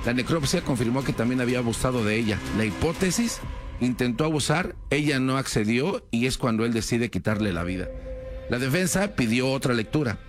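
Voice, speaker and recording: A man's voice: medium pitch, expressive, brisk, faint music behind. A man telling a true crime story in a casual, conversational way, with many fillers and tag questions.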